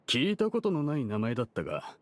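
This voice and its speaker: An actor in a Japanese game dub playing a shady merchant who talks condescendingly with veiled menace. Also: male voice, mid-range voice, wildly swooping pitch, fast talker, background noise. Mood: wary. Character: shady, intimidating merchant man, smooth-talking and menacing.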